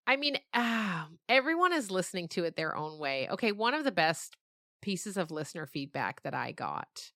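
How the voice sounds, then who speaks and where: A woman's voice: lowish voice, big pitch swings, moderate pace, sharp tone, no room sound, clean studio sound. A woman speaking as an interview guest, a storyteller and podcast creator talking reflectively and expressively in conversation.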